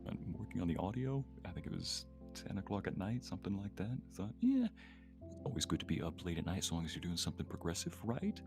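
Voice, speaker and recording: A man's voice: sits low, very animated, fast talker, vocal fry. A man chatting casually as a guest voice actor on a livestream, with a playful, folksy storytelling manner. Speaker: voice actor guest on a live stream. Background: guitar music.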